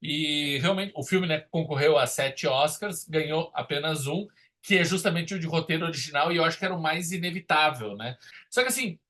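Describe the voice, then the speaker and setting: A man casually discussing film as a podcast host, animated and opinionated. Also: on the high side, fairly quick.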